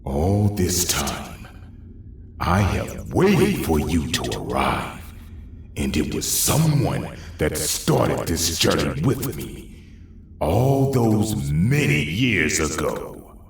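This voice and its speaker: Slow, echoing, embittered monster. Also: male voice, extremely low pitch, wildly swooping pitch, creaky, heavily acted. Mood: menacing, brooding. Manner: slow, menacing, deliberate. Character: vengeful, embittered burned man remade as a monster. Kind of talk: audio drama acting. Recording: very echoey, distant, faintly noisy.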